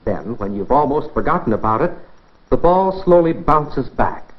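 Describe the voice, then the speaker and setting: Animated American man narrating vintage science film. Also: mid-range voice, moderate pace. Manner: instructive, rhetorical questions, addresses viewer directly. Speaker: presenter of an educational science film. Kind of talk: 1950s educational film narration, scripted demonstration. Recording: dull band-limited 1950s film soundtrack.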